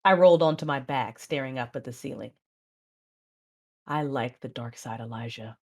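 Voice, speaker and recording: A woman acting as a controlled, proud Sith mother, narrating and speaking in a measured, intense way in an audio drama. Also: a bass voice, darkish tone. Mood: brooding. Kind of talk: first-person dramatic narration and dialogue.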